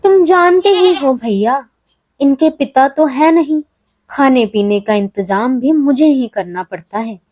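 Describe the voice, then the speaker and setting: Woman voicing a worried mother goat, lively pitch. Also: unhurried, dry recording. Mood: earnest, burdened.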